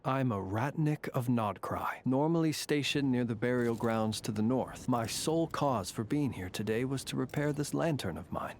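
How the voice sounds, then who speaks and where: A man voicing a courteous, eccentric graveyard warden in a video game, speaking in a formal, measured, genteel way. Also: medium pitch, expressive, slight background noise, natural voice. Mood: polite, reserved. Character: courteous, eccentric gentleman graveyard warden, calm and faintly eerie. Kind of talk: video game character dialogue.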